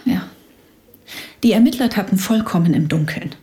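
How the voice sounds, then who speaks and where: An actress in a German radio drama playing a bereaved, bitter widow, speaking haltingly with breathy sighs. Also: female voice, medium pitch, warm tone, close to an everyday voice. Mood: grave, subdued.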